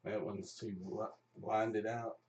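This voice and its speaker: A man's voice: fairly low pitch, little pitch movement, takes it slowly, darkish tone, slight background noise. A man hosting a livestream in an informal, jokey, chatty way. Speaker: livestream host. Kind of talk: casual chat. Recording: echoey room, distant mic.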